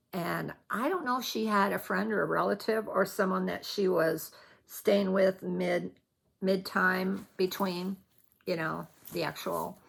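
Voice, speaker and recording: A woman's voice: medium pitch, gravelly, a bit bright, husky. A middle-aged woman from Colorado with a Midwestern American accent, chatting casually as a needlework vlogger, rambling and often backtracking mid-thought. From Colorado, United States. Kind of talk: casual chatty vlog recap.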